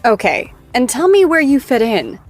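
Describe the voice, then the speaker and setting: A woman's voice: fairly high pitch, very animated, normal speed, soft background music. An actress playing a bubbly, teasing after-show host in a scripted audio drama, gushing and playful with her guest.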